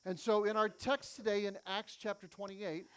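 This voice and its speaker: A man, a native English speaker, preaching as a missionary and telling stories in short phrases with pauses for an interpreter. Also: high-pitched, level tone, fairly bright tone, clean tone. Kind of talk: sermon with an interpreter, storytelling.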